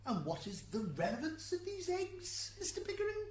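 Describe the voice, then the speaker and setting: A woman's voice: extremely high pitch, huge pitch swings, normal speed, darkish tone, a little hiss or hum, full character voice. A woman playing a wry, fussy, easily flustered defence advocate in heaven's court for a radio drama. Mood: hesitant, challenging. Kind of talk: amateur radio drama acting.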